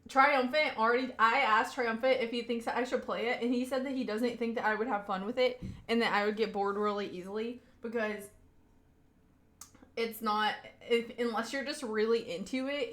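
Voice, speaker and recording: A woman's voice: a little high, fairly even intonation, normal speed, fairly bright tone, roomy sound. Giggly Southern woman streamer. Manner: chatty and giggly, often laughing mid-sentence. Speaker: live streamer. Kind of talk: casual chat with a live audience.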